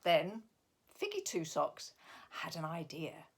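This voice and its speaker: Slow, very animated woman reading a storybook. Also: deep voice, creaky, breathy edge. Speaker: author reading own picture book. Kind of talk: expressive storybook narration for young children.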